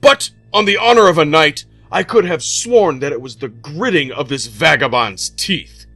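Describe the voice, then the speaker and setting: A booming, bullying king who barks his orders, as a male narrator puts him on. His voice jumps high and swings wildly with every command. He is a cruel joker with little patience. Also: lively intonation, moderate pace, no room sound, soft background music, full character voice. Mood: suspicious. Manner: blustering, bullying, loud commands.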